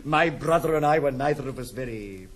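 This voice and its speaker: An actor playing a bluff, jovial old British uncle in a period stage comedy, chuckling and exclaiming in a hearty manner. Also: male voice, medium pitch, expressive, brisk, close to an everyday voice. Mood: fond amusement. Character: bluff old uncle back from the East Indies, jovial, blunt. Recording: noisy 1960s studio album.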